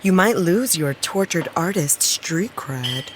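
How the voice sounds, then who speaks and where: A woman's voice: lowish voice, lively intonation, slow pace, a little hiss or hum. A woman playing a dryly teasing, apologetic acquaintance in a naturalistic audio drama.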